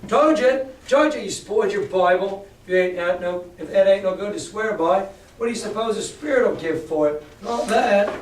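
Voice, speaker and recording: Guy doing a loud gruff old pirate captain, scornful, bit high, roomy echo. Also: lively intonation, normal speed, slight background noise. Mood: mocking. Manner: blustering, rough seaman's talk. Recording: echoey room, far from mic.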